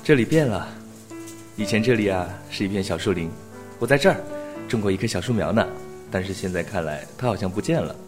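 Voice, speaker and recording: A man playing a wistful, gentle young man with a reflective delivery in an audio drama. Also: on the low side, expressive, fairly quick, close to an everyday voice. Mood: nostalgic. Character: wistful young man longing for a lost love. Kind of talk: Chinese audio drama acting. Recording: music behind the voice.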